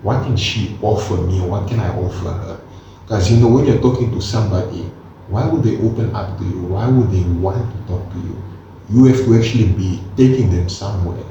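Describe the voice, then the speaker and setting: Young man, mellow voice, echoey room. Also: fairly low pitch, a little fast, slight background noise. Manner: earnest, animated, peppers talk with slang. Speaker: co-host. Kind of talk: casual chat. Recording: echoey room from a distance, dull sound.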